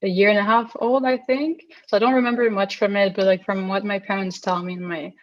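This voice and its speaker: A young woman hosts a podcast interview, reading her introduction in an earnest way and then asking casual questions. Her voice sits in a middle pitch. The sound is a little dull, with no room echo. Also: fairly even intonation, brisk. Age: young adult. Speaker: podcast host.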